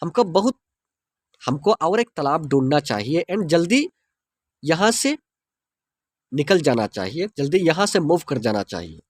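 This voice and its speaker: A man with an Indian accent teaching English through a story, reading English lines slowly and explaining them fast in Hindi. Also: a little high, big pitch swings.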